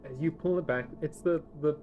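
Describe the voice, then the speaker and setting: A South African man narrating a tabletop game as game master, in a halting, conversational way. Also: a little high, narrow, tinny audio. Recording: noisy room recording.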